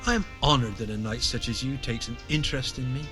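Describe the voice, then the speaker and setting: A man's voice: mid-range voice, fast, music in the background, close to an everyday voice. A man playing a courteous but guarded medieval monastery overseer in a video game, speaking formally. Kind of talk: cinematic game dialogue.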